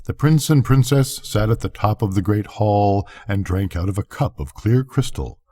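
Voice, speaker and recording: An older man narrating a bedtime story, reading gently and expressively. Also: on the low side, animated, moderate pace.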